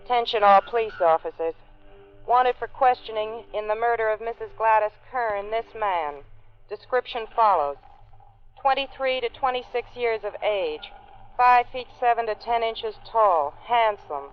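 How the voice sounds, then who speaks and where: A woman's voice: on the high side, measured pace, close to an everyday voice. An actress playing a formal female police dispatcher reading a clipped bulletin in an old radio drama. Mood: official, impersonal. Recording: dull old radio recording.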